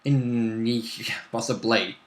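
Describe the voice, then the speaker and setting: A man's voice: mid-range voice, drawn-out words, roomy sound. A man playing a tabletop roleplaying game, chatting casually and jokily as he goes. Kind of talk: casual banter about a game.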